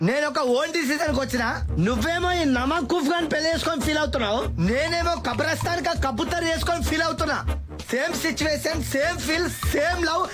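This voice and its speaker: A man's voice: extremely high pitch, quick pace. A man playing a theatrical, reckless lovelorn young man in a comic film, with dramatic declarations. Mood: earnest, proposing. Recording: film music under the voice.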